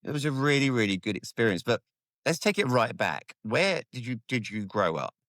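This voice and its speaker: A man's voice: mid-range voice, very animated, normal speed, fairly bright tone, no room sound, clean studio sound. A man, hosting a podcast in a friendly, jokey, self-deprecating way. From England. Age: 40s. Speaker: podcast host. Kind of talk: casual chat.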